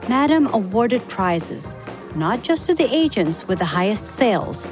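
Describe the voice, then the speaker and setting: A woman's voice: mid-range voice, sing-song, a little slow, over music. A woman reading a scripted biographical story as a podcast narrator for young listeners.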